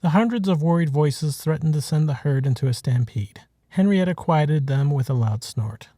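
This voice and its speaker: A man narrates a short story in a measured, dramatic way. His voice is mellow and a little high for a man, recorded close and dry. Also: lively intonation, dry recording. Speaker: author reading his own short story.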